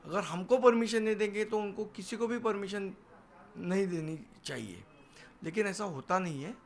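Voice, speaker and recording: Assertive high-pitched man. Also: moderate pace, vocal fry. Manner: assertive, defiant, emphatic repetition. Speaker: political party leader and spokesman. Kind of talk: television news interview answers. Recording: noisy location sound, background ambience.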